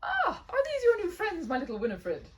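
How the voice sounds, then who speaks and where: A woman's voice: very high voice, wildly swooping pitch, normal speed, a bit bright, a little hiss or hum, voice pushed up, heavily acted. A woman voicing a flamboyant male circus showman who exclaims effusively and theatrically over his beloved animal. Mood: gushing joy.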